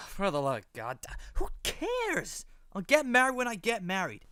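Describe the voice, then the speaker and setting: A man's voice: sits high, wildly swooping pitch, a little slow, bright, forceful tone, no echo, studio quality, exaggerated voice. A man playing a carefree, cheeky teenage slayer prince in an amateur audio drama, talking flippantly. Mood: exasperated.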